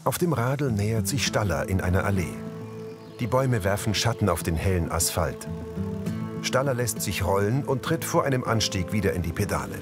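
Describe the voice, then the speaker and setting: A man's voice: fairly low pitch, expressive, normal speed, over loud music. A man reading scripted audio description that narrates on-screen action.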